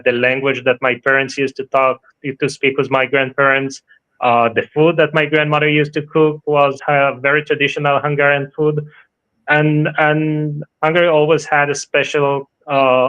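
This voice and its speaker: Halting Israeli-born man, bright dull sound. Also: mid-range voice, clear, resonant tone. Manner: halting, many fillers and restarts. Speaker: guest. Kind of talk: interview answers.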